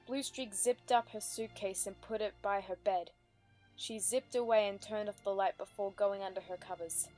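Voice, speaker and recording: A woman's voice: medium pitch, steady tone, moderate pace, a little mellow, low-quality recording. Woman narrating a story over music. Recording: music under the voice.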